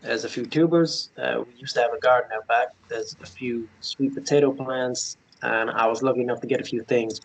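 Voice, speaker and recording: A man acting as a gentle, bashful young man in a fantasy romance read-through. His speech is halting and stammering, always ready to apologize. The voice is husky and medium in pitch. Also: fairly even intonation, poor audio. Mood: flustered. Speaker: actor at a table read.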